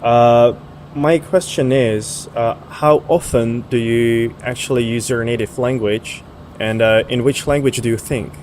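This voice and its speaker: A male interviewer puts questions to a guest, pausing often with fillers between phrases. His voice sits at an ordinary middle pitch. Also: fairly even intonation, darkish tone, slight background noise. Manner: asks questions with many hesitations.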